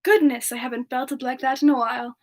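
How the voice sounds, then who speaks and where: A woman voicing a gentle, modest, lovestruck singer with ice powers, chatting softly and giggly in a semi-ASMR livestream Q&A. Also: high voice, steady tone, brisk. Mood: bashful, amused. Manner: soft, giggly, endearing chatter with pet names. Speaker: character streamer answering chat questions.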